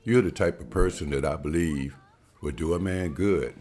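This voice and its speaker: A man's voice: extremely low pitch, moderate pace, a little mellow. A man reading the part of a polite, earnest older suitor courting a young woman at a table read. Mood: tender.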